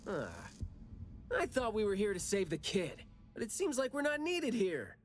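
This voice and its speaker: A man's voice: sits high, normal speed, soft background music. A man playing a playful, wry young investigator in a game cutscene, talking casually and expressively. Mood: animated, playful. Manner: casual, teasing, animated. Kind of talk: game cutscene dialogue acting.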